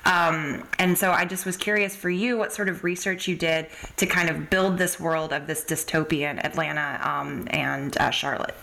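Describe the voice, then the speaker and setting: Bright, lowish-voiced woman interviewing. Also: fairly even intonation, normal speed, vocal fry, hardly any pauses. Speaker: podcast host interviewer. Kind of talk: casual conversational interview.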